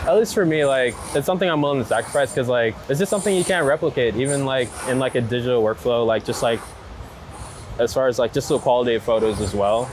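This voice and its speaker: Young adult male, casual street interviewee, mid-pitched, slangy and halting, heavy urban background noise. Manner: slangy, halting, full of filler "like". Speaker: street photographer being interviewed. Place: outdoors on busy city streets. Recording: loud city traffic noise outdoors. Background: loud urban ambience and passing vehicles.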